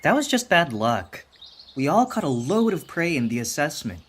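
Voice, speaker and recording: An actor voicing a bold, cheerful young male apprentice cat, husky and breezy, in an audiobook fan dub. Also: mid-range voice, sing-song, normal speed. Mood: eager.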